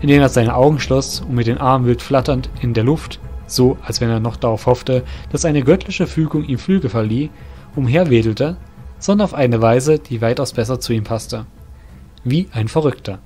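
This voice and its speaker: A man's voice: mid-range voice, normal speed, mellow. A man reading scripted fantasy narration for an audio drama. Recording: music under the voice. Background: music.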